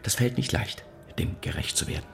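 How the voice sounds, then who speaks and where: An actor playing a flustered, pompous, gushing biscuit inventor in a children's audio drama, halting and florid in his wording. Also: on the low side, lively intonation, vocal fry, a bit bright, soft background music, exaggerated voice. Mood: flustered, self-important. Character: flustered, pompous male biscuit inventor, grateful and self-important.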